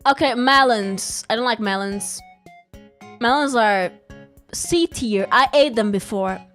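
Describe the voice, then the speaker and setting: A woman's voice: fairly high pitch, slow pace, a bit bright. A young woman, chatting casually and playfully on a live stream, giggling often and rambling. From Brazil. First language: Portuguese. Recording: faint music behind.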